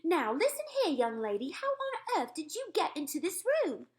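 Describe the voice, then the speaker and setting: A woman's voice: sits high, sing-song, normal speed, pitched above the performer's own voice. A woman voicing an indignant, flustered middle-aged maid in a storybook, with emphatic, scolding exclamations. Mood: angry, scolding. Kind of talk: storybook read-aloud.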